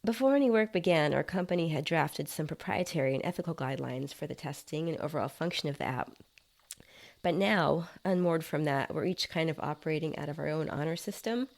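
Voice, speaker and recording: Casual tech inventor in a body-swap audio story, female reader, low and gravelly, explaining matter-of-factly. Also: a little fast. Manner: nonchalant, chatty, explanatory. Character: nonchalant male app developer inhabiting another's body.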